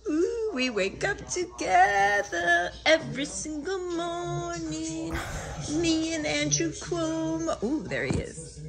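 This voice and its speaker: A woman gushes playfully in a high voice. She is theatrical and slow, stretching her words out. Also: expressive, creaky, over music.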